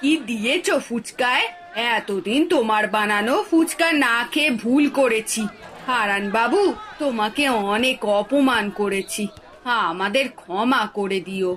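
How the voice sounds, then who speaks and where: A woman's voice: on the high side, a little slow, a bit bright. A woman voicing an eager neighbourhood customer in a cartoon. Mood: apologetic. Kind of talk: cartoon voice acting.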